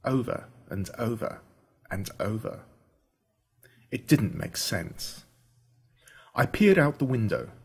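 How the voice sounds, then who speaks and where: An Australian man reading a scripted first-person story in an expressive, dramatic storytelling manner. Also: on the low side, slow, long pauses, breathy edge. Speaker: audiobook narrator.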